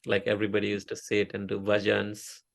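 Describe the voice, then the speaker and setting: Middle-aged Indian-accented man, Oriya-speaking, gravelly low voice, hesitant interview guest. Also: completely flat delivery. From India (Odisha). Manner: halting, many fillers and restarts. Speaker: interview guest, software engineer. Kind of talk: casual interview talk.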